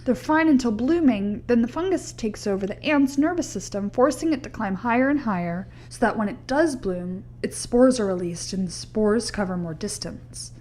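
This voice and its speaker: A young woman playing a skeptical science graduate student in an audio drama, measured, dry and polite. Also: fairly high pitch, animated, darkish tone. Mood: skeptical, matter-of-fact.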